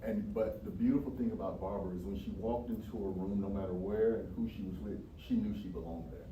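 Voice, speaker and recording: A man interviewing a guest, earnest and admiring, pausing often with fillers. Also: on the low side, steady tone, warm tone. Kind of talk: interview questions. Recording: roomy, distant mic, noticeable background noise.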